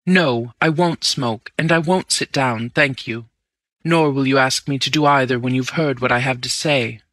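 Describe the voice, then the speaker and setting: A man voicing a desperate young gentleman who has lost everything, pleading and anguished. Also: fairly high pitch, very slow, creaky, no room sound, exaggerated voice.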